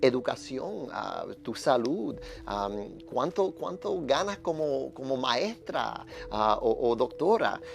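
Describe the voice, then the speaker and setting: A man in casual discussion, halting and repeating words, with English fillers slipped in. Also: medium pitch, sing-song, gravelly, soft background music.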